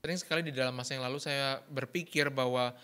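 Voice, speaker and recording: A man interpreting a sermon live, talking quickly and often restarting with fillers and self-corrections. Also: mid-range voice, fairly even intonation, pushed and bright, smooth. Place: church.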